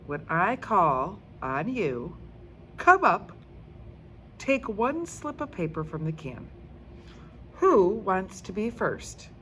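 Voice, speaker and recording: An earnest schoolteacher read by a woman addresses a class in a children's storybook. The delivery is instructive and encouraging, slow and very melodic. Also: mid-range voice, very animated, fairly bright tone, slight background noise. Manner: instructive, emphatic.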